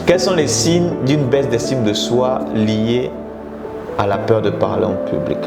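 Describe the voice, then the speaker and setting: A man from Congo, a public speaking coach hosting a live stream, teaching in numbered points. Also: mid-range voice. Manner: teaching in numbered points, urging viewers to share. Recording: echoey room with loud guitar music behind. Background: loud guitar music.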